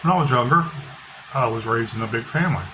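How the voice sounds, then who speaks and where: A middle-aged author talking casually with a loud, bass-heavy voice, blunt and opinionated, often repeating himself. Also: male voice, lively intonation, fast talker. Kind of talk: casual monologue. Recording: noisy background hiss.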